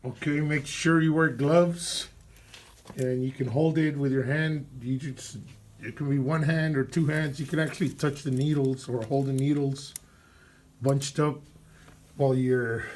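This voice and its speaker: A man with a cold and a hoarse voice, talking casually through a car repair in his garage while filming on a phone, with lots of fillers and throat clearing. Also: mid-range voice, fairly even intonation, a little slow, slight background noise. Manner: rambling, filler-heavy, clears throat often. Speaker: DIY car mechanic vlogger. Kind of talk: casual workshop walkthrough. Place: indoor garage under a vehicle. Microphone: phone camera held while working.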